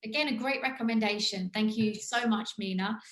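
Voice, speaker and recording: A woman's voice: fairly high pitch, brisk, a bit bright. A woman hosting an interview, asking questions in an upbeat, encouraging way. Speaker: interview host. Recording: echoey room.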